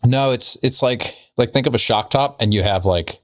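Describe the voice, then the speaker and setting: A man's voice: medium pitch, normal speed, dry recording. A man co-hosting a podcast in casual, joking, conversational banter. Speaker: podcast co-host.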